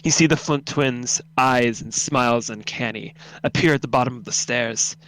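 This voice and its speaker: A man's voice: medium pitch, moderate pace, a bit bright, poor audio. A man narrating a second-person horror story in a dramatic, solemn, intense reading.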